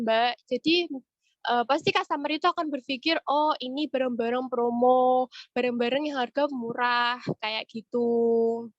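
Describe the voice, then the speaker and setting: A woman's voice: high voice, fairly bright tone, dry recording. A woman with a cold gives an informal, conversational training presentation over an online meeting, often checking in with her listeners. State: has a cold and cough. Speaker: webinar presenter and retail trainer. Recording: muffled, dull online-meeting audio.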